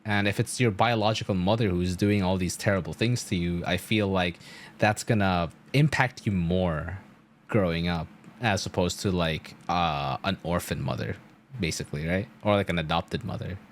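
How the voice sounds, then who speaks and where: Male game streamer: slightly low voice, casual, halting, working through thoughts aloud. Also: slight background noise. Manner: halting, rambling, thinking aloud with repeated "like". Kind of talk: casual live-stream commentary.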